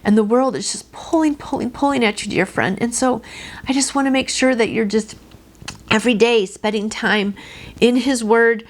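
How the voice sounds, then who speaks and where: A woman's voice: fairly high pitch, steady tone, normal speed. A middle-aged woman hosting a devotional, reading and then encouraging the listener in an earnest, personal, informal way. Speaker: devotional host and reader.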